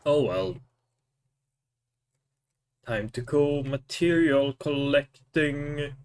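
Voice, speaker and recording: A man's voice: mid-range voice, animated, drawn-out words, a little mellow, smooth. A man streaming a game with casual, offhand, muttered commentary.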